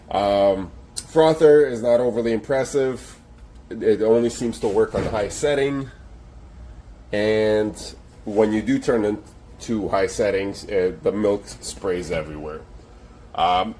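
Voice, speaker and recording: A man's voice: fairly low pitch, a little mellow. A man giving a blunt, sarcastic review of an espresso machine, complaining about its flaws. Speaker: product reviewer.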